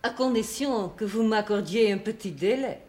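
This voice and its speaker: A woman's voice: medium pitch, moderate pace, darkish tone, natural voice. A woman playing a formal, politely reproachful housekeeper in a television period drama.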